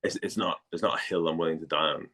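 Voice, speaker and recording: A low-voiced man from Denmark chats as an interview guest. He talks casually and haltingly, doubling back on words while he works out what he means. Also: fairly even intonation, pushed and bright. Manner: halting, repeats words as he thinks. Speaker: guest, actor and former model.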